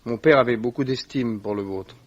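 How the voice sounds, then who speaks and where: Earnest young gentleman played by a man, muffled sound. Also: mid-range voice, moderate pace, close to an everyday voice. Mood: grave, consoling. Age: young adult. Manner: formal, earnest. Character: rich, loyal, earnest young heir consoling his friend. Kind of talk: 1950s French radio drama acting. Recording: dull old radio recording.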